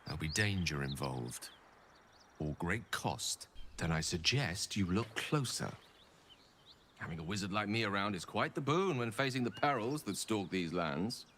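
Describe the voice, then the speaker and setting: An articulate wizard, lively. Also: male voice, deep voice, moderate pace, a little hiss or hum. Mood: confiding. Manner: articulate, courteous, theatrical. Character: refined male human wizard, polite and secretive.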